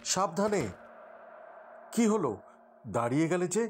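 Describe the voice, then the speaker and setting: A man playing an earnest, protective young adventurer in an animated fairy tale. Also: high voice, measured pace, soft background music. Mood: reassuring, wary.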